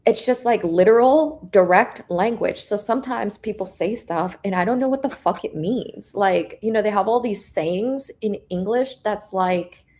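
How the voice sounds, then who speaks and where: A woman's voice: medium pitch, moderate pace, hardly any pauses, darkish tone. A young woman whose first language is Korean, talking bluntly and casually with lots of fillers and swearing on a live stream. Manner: blunt, profane, filler-heavy, laughs often. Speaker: guest trader. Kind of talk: casual chat.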